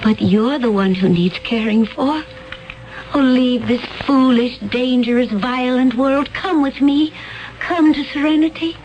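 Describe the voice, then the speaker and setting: An actress playing a calm woman from another planet in a 1970s radio drama, speaking gently and slowly. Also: sits high, dull audio, faint music behind. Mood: serene.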